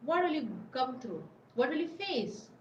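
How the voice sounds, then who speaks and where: A high-voiced woman gives an informal lecture, circling back and repeating phrases as she searches for words. The recording is roomy, with a faint hum of ambience underneath. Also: big pitch swings, normal speed, darkish tone, dull audio. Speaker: webinar presenter.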